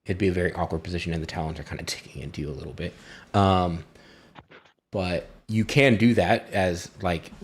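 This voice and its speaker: A man acting as game master, narrating and explaining a tabletop game in a halting, conversational way. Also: deep voice, fairly even intonation.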